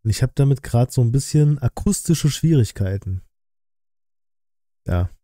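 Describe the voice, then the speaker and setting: A man comments casually on a livestream. His voice is very soft-edged and mellow, and it is recorded close and dry in a room at home. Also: medium pitch, animated, a little slow, dry recording. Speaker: streamer, reaction host. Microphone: close streaming microphone.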